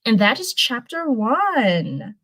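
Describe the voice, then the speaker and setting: Chatty lady streamer, super animated up and down voice, reading stories n talking to viewers. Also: a little high, slow pace. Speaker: host of a live reading stream. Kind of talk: casual livestream chat and storybook narration.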